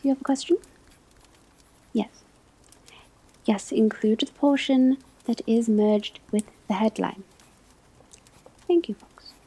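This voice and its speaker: A patient professor at a school of witchcraft, played by a woman, lectures in an ASMR roleplay. Her voice is very breathy and whispery, with a soft, dark warmth. She speaks slowly and formally, setting out each point with measured precision. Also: a little high, heavily acted, poor audio. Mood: patient, attentive. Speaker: divination teacher in a roleplay.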